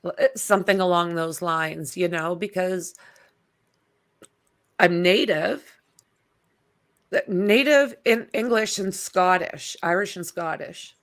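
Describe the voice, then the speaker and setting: A woman hosting a casual livestream chat, talking in a relaxed, rambling way with lots of fillers. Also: on the low side, slow, long pauses. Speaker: livestream host.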